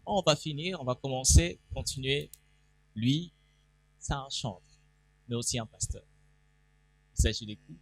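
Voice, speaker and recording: A man's voice: mid-range voice, lively intonation, measured pace, creaky, long pauses, poor audio. A man who is a pastor moderating a reading event on stage, announcing guests and calling for applause. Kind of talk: event hosting.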